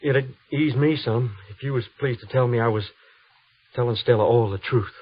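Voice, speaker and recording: An actor playing a humble, anxious young cavalry trooper with a hesitant, folksy, pleading manner in an old-time radio western. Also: male voice, medium pitch, moderate pace, husky, lightly acted. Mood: anxious, halting. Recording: muffled old radio broadcast.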